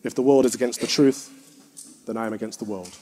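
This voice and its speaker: A man, a clergyman, giving a formal, measured speech to an assembly. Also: mid-range voice, steady tone, warm tone. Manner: measured, firm oratory.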